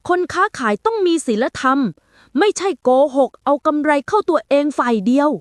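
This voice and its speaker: Woman acting a high, furious village auntie. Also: lively intonation, moderate pace, no room sound, clean studio sound. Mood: furious, scolding. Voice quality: trembling with anger.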